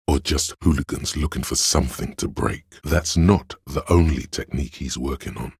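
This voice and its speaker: A man voicing a detective's cool, cerebral inner voice of logic in a video game, measured, condescending and dryly analytical, with a gravelly, husky tone. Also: a bass voice, a little mellow, dry recording, clean studio sound, heavily acted. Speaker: voice actor. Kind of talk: scripted game narration.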